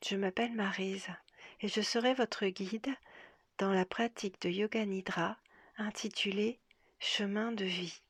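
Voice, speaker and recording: A woman leading a guided relaxation as a yoga nidra guide, speaking softly and gently in a breathy half-whisper with long, measured pauses. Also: medium pitch, steady tone, dry recording, studio quality.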